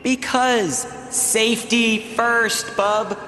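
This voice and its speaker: High, glib supernatural show host. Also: male voice, steady tone, very slow, pushed and bright, soft background music, heavily acted. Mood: glib, breezy. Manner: breezy, flippant, theatrical. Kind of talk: cartoonish video game acting. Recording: very echoey hall sound, faint ambience.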